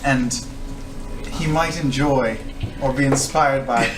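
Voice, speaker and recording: A man's voice: medium pitch, takes it slowly. A man playing a tabletop game, talking casually and in character. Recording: roomy, distant mic, music underneath.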